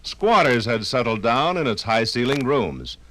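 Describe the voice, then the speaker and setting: An American man narrates a 1950s radio documentary in a formal, theatrical style with measured phrasing. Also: mid-range voice, big pitch swings, a bit bright. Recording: vintage 1950s radio recording.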